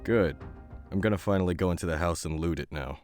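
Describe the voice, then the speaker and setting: A man playing a blunt, tough fighter who knows his guns, with a gruff, clipped delivery. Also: low voice, quick pace, darkish tone, soft background music, lightly acted.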